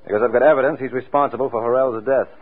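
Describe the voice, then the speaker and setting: A man's voice: mid-range voice, fairly even intonation, racing, lightly acted. A man playing a cool, wisecracking, flirtatious private detective in a 1940s radio drama, with a breezy, sardonic, teasing way of talking. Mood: sly, teasing. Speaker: radio drama lead actor. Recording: tinny, band-limited old radio recording.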